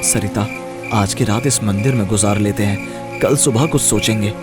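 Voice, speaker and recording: A man voicing a gentle, weary young village husband in an animated Hindi moral story, mild and subdued. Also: lowish voice, normal speed, music in the background, lightly acted. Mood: helpless, subdued.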